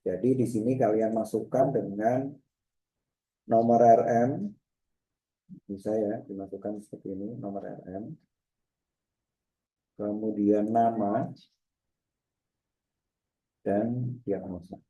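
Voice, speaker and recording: Dark-voiced man lecturing, echoey room. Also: fairly low pitch, fairly even intonation, takes it slowly, long pauses, highs cut off. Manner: repetitive, checking with frequent short tags. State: bit of a cough, clearing throat. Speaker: lecturer teaching a software diagram class. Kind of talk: informal step-by-step screen demonstration lecture.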